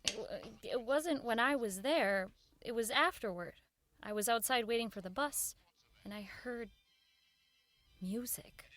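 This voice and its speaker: Earnest, higher-voiced radio boss. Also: female voice, unhurried, pauses often, fairly bright tone. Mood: earnest insistence. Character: earnest, persistent woman radio show boss and narrator. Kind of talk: audio drama acting with first-person narration.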